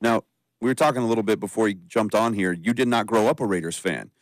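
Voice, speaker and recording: A man's voice: fairly low pitch, quick pace, dry recording. A man co-hosting a sports radio show, asking easygoing, conversational questions. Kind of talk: casual radio talk.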